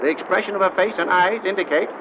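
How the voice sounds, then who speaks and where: An actor playing a clinical coroner in an old radio drama, formal and emphatic. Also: male voice, sits high, quick pace, close to an everyday voice. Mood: grave, certain. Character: formal, clinical coroner doctor giving his verdict. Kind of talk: 1930s radio drama acting. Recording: dull, noisy old radio broadcast recording.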